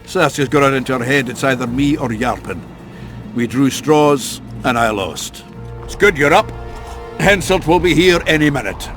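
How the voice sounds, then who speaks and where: An actor playing a gruff, bawdy dwarf warrior in a video game, blustery, salty and jocular. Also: male voice, medium pitch, lively intonation, moderate pace, music in the background, natural voice.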